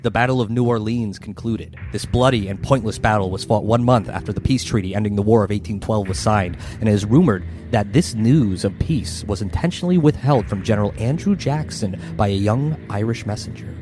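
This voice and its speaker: A man's voice: fairly low pitch, a little fast, few pauses, background noise. A man acting as game master, narrating a horror tabletop game and slipping into casual improvised asides. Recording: music bed behind voice.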